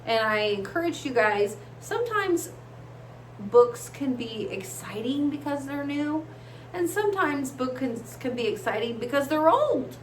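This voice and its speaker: A woman reading aloud to children like a teacher, expressive and encouraging. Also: high voice, unhurried. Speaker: story reader, teacher. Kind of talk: read-aloud storytelling for children. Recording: echoey room, faint background hiss.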